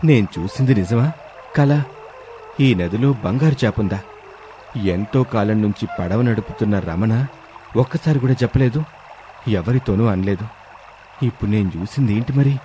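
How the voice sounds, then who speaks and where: A man's voice: lowish voice, moderate pace, long pauses, dark tone, slight background noise, natural voice. A man playing a brooding, evasive younger son of a rich villager in a storybook tale. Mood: astonished.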